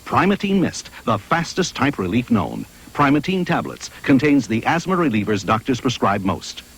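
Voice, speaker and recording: A man's voice: medium pitch, big pitch swings, normal speed, fairly bright tone, a little hiss or hum, low-quality recording. A man narrating a 1970s television commercial as an announcer.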